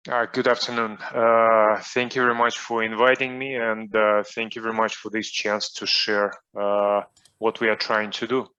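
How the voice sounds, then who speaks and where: Hesitant Russian-accented man, low voice. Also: little pitch movement, normal speed, sharp tone, clean tone, muffled recording. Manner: hesitant, many fillers and restarts. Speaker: guest soccer coach. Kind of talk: online interview.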